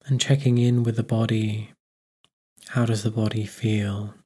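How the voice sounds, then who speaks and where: A man with a fairly low, husky voice narrates a guided meditation. His delivery stays very flat and even. He gives gentle instructions and leaves unhurried pauses between phrases.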